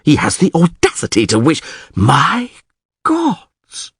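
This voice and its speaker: A man's voice: a little high, huge pitch swings, slow pace, fairly bright tone, husky, no echo, studio quality, pitched above the performer's own voice, heavily acted. A man voicing a blustering, foul-mouthed town mayor in a fantasy storybook, bossy and exasperated. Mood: stern, scornful.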